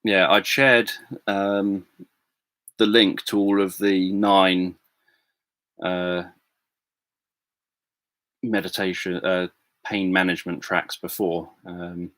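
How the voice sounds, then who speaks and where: A middle-aged man chatting casually on a livestream, answering viewers in a soft, gentle way with many fillers and restarts. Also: sits low, no echo. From England. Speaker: livestream host. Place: home room.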